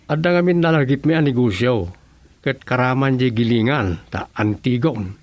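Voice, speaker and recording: A man's voice: medium pitch, moderate pace, dry recording, lightly acted. A man playing a worried searcher in a radio drama, anxious and plain-spoken. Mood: pondering.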